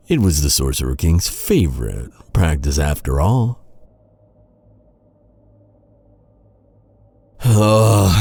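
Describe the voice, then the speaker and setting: Man acting a deep, brooding, taunting knight. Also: gravelly, darkish tone, breathy edge, no room sound, heavily acted. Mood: mocking. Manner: sardonic, mock-courteous, brooding, with sighs and pauses. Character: bitter, taunting knight guarding a captive enemy royal. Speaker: voice actor. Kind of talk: immersive dark fantasy ASMR roleplay.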